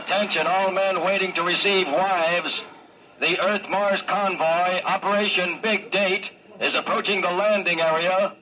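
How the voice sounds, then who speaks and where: A man's voice: sits high, steady tone, measured pace, sharp tone, poor audio. A man playing a formal, declamatory official announcer in a 1950s radio drama. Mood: official, urgent. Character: brisk official loudspeaker announcer at a spaceport. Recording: old radio recording, faint noise.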